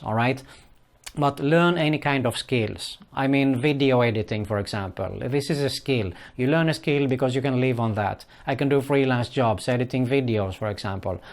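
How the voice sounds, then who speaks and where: A middle-aged man gives earnest advice in English, his third language. He talks casually and stops often on "uh" and "for example". His voice sits in an ordinary middle pitch. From Sweden. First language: Swedish. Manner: earnest, repeats "for example" and "keep that in mind", frequent "uh" fillers. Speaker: online business vlogger. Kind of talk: casual advice talk to camera.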